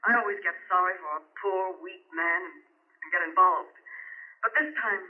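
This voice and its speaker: An actress playing a proud, world-weary femme fatale in melodramatic 1940s radio drama, theatrical and intense. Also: female voice, lowish voice, normal speed, phone-quality sound, natural voice. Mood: bitter, ashamed. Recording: band-limited old radio recording with music.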